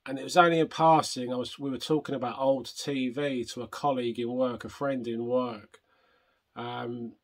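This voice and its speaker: An older man hosting a retro gaming vlog, chatting casually and rambling, hoarse from a chest infection. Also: mid-range voice, steady tone, normal speed. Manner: rambling, self-interrupting, chatty. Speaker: retro gaming channel host.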